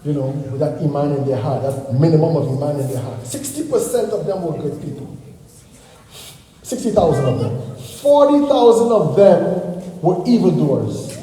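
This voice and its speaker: A man's voice: on the high side, big pitch swings, moderate pace, dark tone, slight background noise. A man giving a religious lecture and answering questions, talking conversationally and often checking in with "okay?". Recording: echoey hall with long reverb.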